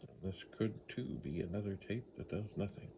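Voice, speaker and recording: A man giving a casual hands-on demo as the host, thinking aloud in short, broken, unhurried phrases. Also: lowish voice. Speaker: host of a retro computer channel. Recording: faint background noise.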